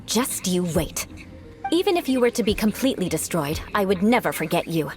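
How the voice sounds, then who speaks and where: A woman's voice: mid-range voice, big pitch swings, fairly quick, natural voice. A woman voicing a haughty, vengeful young female knight in a video game, speaking formally and imperiously with theatrical threats. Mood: proud, vengeful. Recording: loud game music behind.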